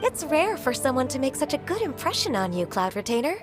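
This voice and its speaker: A gentle, polite young woman speaks softly and courteously. Her voice sits high. Faint game music plays underneath. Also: very animated, a little fast. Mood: grateful. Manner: soft-spoken, courteous. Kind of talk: game voice acting.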